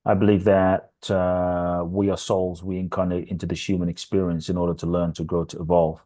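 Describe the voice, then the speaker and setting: Deep-voiced man, earnest teacher. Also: flat delivery, fairly quick, mellow. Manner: earnest, preacher-like build-ups with repetition. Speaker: podcast guest, transformational teacher. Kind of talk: reflective interview answers.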